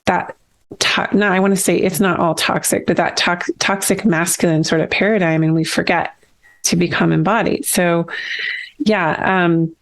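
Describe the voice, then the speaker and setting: A woman's voice: fairly low pitch, steady tone, normal speed. A middle-aged woman hosting an interview, thinking aloud in long, winding, reflective sentences. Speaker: podcast host. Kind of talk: casual interview chat.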